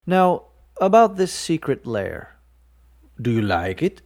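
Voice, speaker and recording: A man voicing a pompous would-be villain with a put-on foreign accent, bellowing in slow, drawn-out bursts. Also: a little high, sing-song, voice pushed up, heavily acted. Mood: curious. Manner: bombastic, drawn-out shouting.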